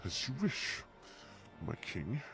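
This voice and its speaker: A man's voice: lowish voice, wildly swooping pitch, slow, gravelly, pushed and bright, soft background music, pitched above the performer's own voice, heavily acted. A man voicing a haughty, condescending dragon courtier who speaks with formal disdain in an audio drama. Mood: cold, deferential. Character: haughty, grudging male dragon courtier, scornful of humans. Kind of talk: audio roleplay drama acting.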